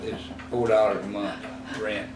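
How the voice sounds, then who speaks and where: A man in his eighties, slowly recalling his past in an interview, rambling and halting with many fillers and self-corrections. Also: on the low side, flat delivery. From Texas. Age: 80s. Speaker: oral history interviewee. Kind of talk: reminiscing in a recorded interview. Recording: roomy, distant mic with clear background noise.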